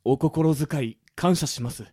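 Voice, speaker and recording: A man's voice: fairly high pitch, measured pace, dark tone, slightly rough, dry recording, clean studio sound. A man playing a stiff, fretful butler of a noble house in a radio drama, speaking formally and fussily. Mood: worried, fussy. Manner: formal, stern, fussy. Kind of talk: anime-style radio drama acting.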